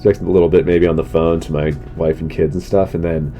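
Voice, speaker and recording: A man's voice: little pitch movement, fairly quick, dark tone. A middle-aged man with a stuffy, congested, sick-sounding voice, talking loosely and casually as a solo podcast host, with slangy asides. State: sick, congested, losing his voice, throat-clearing. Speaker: comedian hosting a solo podcast. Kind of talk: casual rambling monologue. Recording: noticeable background noise with low rumble.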